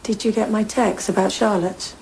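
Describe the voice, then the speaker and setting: A woman's voice: mid-range voice, fairly even intonation, moderate pace, gravelly, a little mellow, a little hiss or hum, lightly acted, rough recording. A woman playing a caring but indignant middle-aged mother in an audio drama. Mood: warm, motherly.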